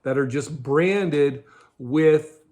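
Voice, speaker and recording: A middle-aged American man with a slightly higher-pitched voice presents to camera as a show host. He talks in an informal, explanatory way, as if walking a viewer through a product. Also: very slow, a little mellow. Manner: conversational, explanatory. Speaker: host of a budget prepping show. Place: indoors in a garage or storage room with shelves.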